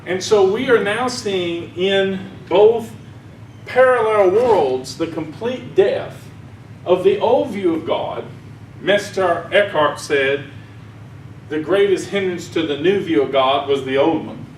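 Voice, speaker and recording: A man's voice: high-pitched, slow pace, a little hiss or hum. A man from Arkansas with a Southern accent, giving a rambling, folksy sermon talk at a conference. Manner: folksy, rambling, chuckles at own jokes. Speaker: conference speaker. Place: conference hall. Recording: echoey room, mic heard from far.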